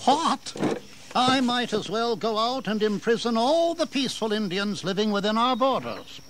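A proud colonial governor with a high-pitched voice. He is sardonic and declamatory, in historical radio drama style, over faint noise. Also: animated, normal speed, fairly bright tone. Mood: sardonic indignation. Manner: declamatory, sarcastic, aristocratic. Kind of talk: historical audio drama acting. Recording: faintly noisy 1980s drama recording.

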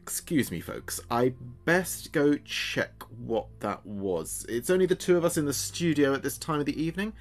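Guy doing a jokey small town night radio host, animated and wry, bit theatrical, music under it, audio drama. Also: mid-range voice, moderate pace, hardly any pauses, natural voice. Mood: uneasy. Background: background music.

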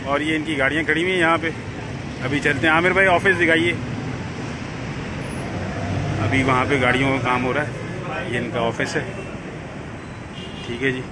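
A man narrates a road trip as he films it on a phone. His voice sits a little high, and he keeps the talk chatty and easy. Loud traffic and wind noise run under everything he says. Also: fairly even intonation, a little fast, long pauses. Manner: chatty, keeps adding "theek hai ji". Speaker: travel vlogger. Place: outdoors on city streets and inside a bus.

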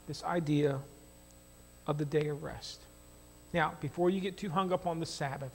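High-pitched American man preaching. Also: low-quality recording. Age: middle-aged. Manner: animated, emphatic, with rhetorical questions and repeated phrases. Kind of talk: conversational sermon. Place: church stage at a lectern, indoors.

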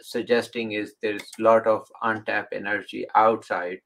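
A man hosting an interview over a video call, asking halting questions with fillers. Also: fairly low pitch, level tone, creaky, a crowd in the background. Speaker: host.

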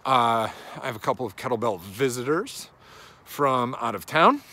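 Man in his thirties, medium pitch, casual vlog monologue, rambling coach, outdoors, handheld phone. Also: animated, moderate pace, bright, forceful tone. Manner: rambling, thinking aloud while walking. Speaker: strength and conditioning coach. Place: outdoors on a suburban residential street. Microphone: phone held at arm's length.

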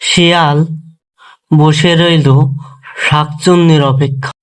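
Slow, higher-pitched male fairy-tale narrator. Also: steady tone, clear, resonant tone, soft background music, low-quality recording.

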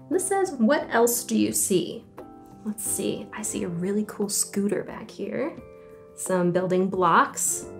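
A young woman reading stories and poems aloud to children, animated and clearly enunciated, with questions for her young listeners. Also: medium pitch. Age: young adult. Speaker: children's story time host and reader. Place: small indoor room at home.

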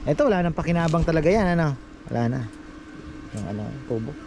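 A man shows viewers around his farm in a relaxed, chatty way. His voice rises and falls a lot as he talks. Loud outdoor noise runs under the recording. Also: a little high, quick pace. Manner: chatty, addresses viewers as fellow farmers. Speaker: farm vlogger. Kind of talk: casual farm tour vlog. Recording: noisy outdoor phone recording.

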